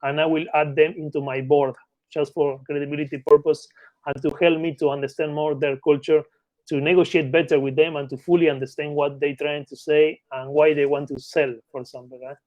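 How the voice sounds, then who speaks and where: Argentine man, slightly high voice, non-native English. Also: flat delivery, fairly quick, a little mellow, no room sound, poor audio. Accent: Argentine Spanish. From Patagonia, Argentina. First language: Spanish. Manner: repeatedly checks in with short tag questions. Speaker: interview guest, mergers and acquisitions consultant. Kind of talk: conversational explanation in an interview.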